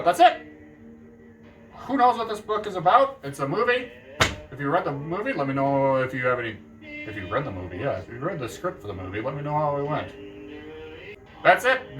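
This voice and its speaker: A man chatting casually to camera about books, animated and quick to jump up in excitement. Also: recorded from a distance. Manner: animated, jumps up in pitch with excitement. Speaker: book vlogger.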